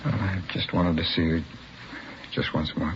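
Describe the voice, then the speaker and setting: An actor playing a bewildered, grieving father in a 1950s radio drama, speaking haltingly and subdued. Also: low voice, steady tone, lightly acted. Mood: grieving, lost. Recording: muffled vintage radio recording, faint hiss.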